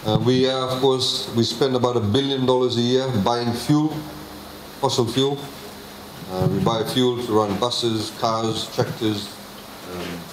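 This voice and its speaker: A man, presenting a budget to a public meeting in a measured way, with many pauses and fillers. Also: mid-range voice, noisy background. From Fiji. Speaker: government minister presenting a budget. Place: public consultation meeting hall. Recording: echoey hall, faint ambience.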